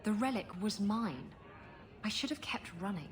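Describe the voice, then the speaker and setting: A brash, defiant rogue from a fantasy video game, acted by a woman. She speaks bluntly and irritably in a medium-pitched voice. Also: lively intonation, normal speed, a little hiss or hum, natural voice. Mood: defensive, irritated. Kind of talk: video game character acting.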